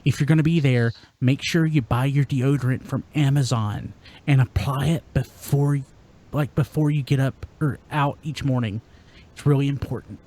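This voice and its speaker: A man's voice: mid-range voice, steady tone, normal speed, mellow. A man hosting a podcast, chatting casually in a jokey, wry manner. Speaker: podcast host.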